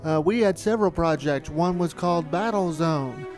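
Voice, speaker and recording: Higher-voiced middle-aged man narrating. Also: normal speed, darkish tone, faint music behind. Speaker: filmmaker narrator. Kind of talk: documentary reminiscence narration.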